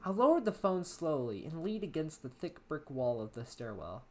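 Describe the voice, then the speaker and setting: A man's voice: fairly high pitch, sing-song, normal speed. A young man playing an anxious, isolated college student in a first-person horror story, with a hesitant, brooding delivery. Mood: puzzled. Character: anxious isolated college-age man writing down his unease. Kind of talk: dramatic horror story reading. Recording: music under the voice.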